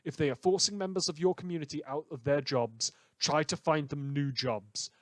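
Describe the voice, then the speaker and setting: A man playing a cynical, misanthropic radio host in a post-apocalyptic fan audio drama, speaking sardonically with dramatic, halting pauses. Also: fairly high pitch, dry recording, natural voice. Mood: dry, weary. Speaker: fictional radio show host.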